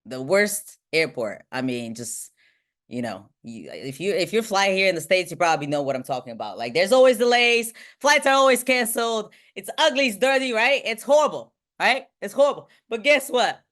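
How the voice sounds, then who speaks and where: A woman from Bulgaria, giving an energetic motivational talk to a group on a video call. She ends nearly every point with "right?" and her voice swings between high and low. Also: normal speed. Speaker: motivational speaker and team trainer.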